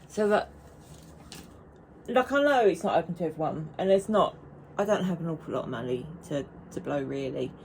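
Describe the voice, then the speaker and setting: A woman's voice: low-pitched, lively intonation, normal speed, gravelly, a little mellow, a little hiss or hum, low-quality recording. A woman, chatting casually and rambling to camera as a knitting vlogger. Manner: chatty and rambling, with frequent restarts.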